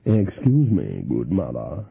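A man voicing a smooth-talking male card sharper, slow and ingratiating. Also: a bass voice, wildly swooping pitch, voice pushed down, heavily acted. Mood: oily. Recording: thin phone-like sound.

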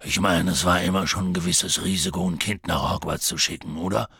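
A man voicing a huge, gruff, anxious half-giant groundskeeper in an audiobook, rambling nervously and clipping his words. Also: sits low, fairly even intonation, fairly quick, bright, forceful tone, dry recording, clean studio sound, exaggerated voice. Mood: uneasy. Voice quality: gravelly, husky. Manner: rambling, nervous, drops word endings. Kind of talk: audiobook character voice.

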